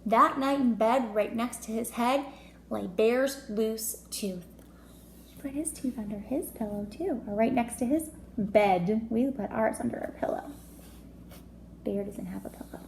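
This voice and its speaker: A woman's voice: on the high side, unhurried, gravelly. A woman reading a storybook aloud to young children as a teacher, expressively, with chatty asides and questions. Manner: expressive, child-directed, asking questions and commenting. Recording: echoey room with faint noise.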